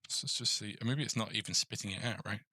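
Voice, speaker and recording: A man's voice: lowish voice, fast talker, pushed and bright, husky, dry recording. A man hosting a casual coding tutorial, thinking aloud in a hesitant mutter as he works. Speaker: game development tutorial host.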